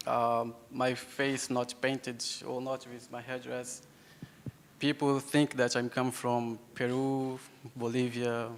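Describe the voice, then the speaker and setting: Slow, halting Brazilian man in a hall. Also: medium pitch. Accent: Brazilian Portuguese. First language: Yawanawa. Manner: halting, repeats words, laughs often. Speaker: invited speaker. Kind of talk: public talk to an audience. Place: hall with an audience. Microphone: handheld microphone. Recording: hall reverb.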